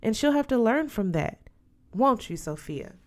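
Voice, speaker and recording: A woman's voice: fairly high pitch, big pitch swings, unhurried, a little mellow. Woman voicing a firm, wise older godmother aunt. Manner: emphatic, counselling. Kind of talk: audiobook character voice.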